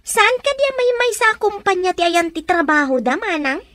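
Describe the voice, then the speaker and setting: A woman's voice: very high voice, sing-song, moderate pace, a bit bright, no room sound, exaggerated voice. A woman playing an inquisitive, talkative, motherly character in a radio comedy drama, with an Ilocano accent. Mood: nosy, chatty.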